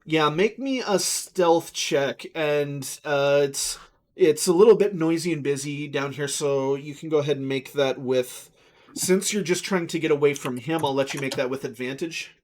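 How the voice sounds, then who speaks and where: Dungeon master man, easygoing halting narration. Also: fairly high pitch. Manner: relaxed, halting, with many fillers. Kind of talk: narrating a tabletop game.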